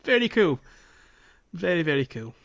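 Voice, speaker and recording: A man's voice: a little high, sing-song, normal speed, gravelly, a bit bright, dull audio. A man hosting a casual gaming podcast, talking in an enthusiastic, geeky way. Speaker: podcast host. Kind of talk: casual game discussion podcast.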